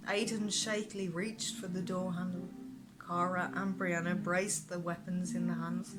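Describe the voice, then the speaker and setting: A woman author reading her own fantasy novel aloud as expressive audiobook narration. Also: fairly low pitch, poor audio. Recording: prominent music behind the narration. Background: music.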